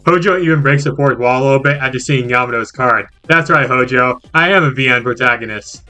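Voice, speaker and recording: A man's voice: mid-range voice, fairly quick, pushed and bright. A man narrating a scripted video game review in an enthusiastic, jokey and exclamatory manner. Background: music under the voice.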